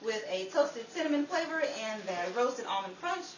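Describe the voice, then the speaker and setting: Woman playing upbeat vintage-style commercial announcer; animated delivery, roomy sound. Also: on the high side, moderate pace, far from the mic, crowd noise, close to an everyday voice. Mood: upbeat, selling. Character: chipper cereal advert announcer. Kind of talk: scripted 1950s-style radio commercial.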